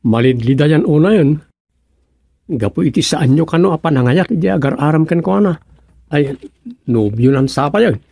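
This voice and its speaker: A man acting in a radio comedy as an eager young man pleading with an elder, halting and stammering as he talks. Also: on the high side, animated, gravelly, mellow, husky, no echo, clean studio sound, full character voice.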